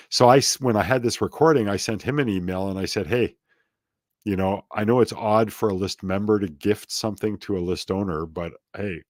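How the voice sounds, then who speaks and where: A man's voice: on the low side, no echo, clean studio sound. A middle-aged man as an interview guest, chatting casually and rambling off onto tangents with self-deprecating asides.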